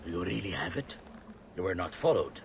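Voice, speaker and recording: An actor playing a sinister, imperious older villain in a video game, with a haughty, menacing, deliberate delivery. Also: male voice, low-pitched, big pitch swings, creaky, exaggerated voice. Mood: cold menace. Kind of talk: video game cutscene acting. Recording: noisy ambience behind the voice.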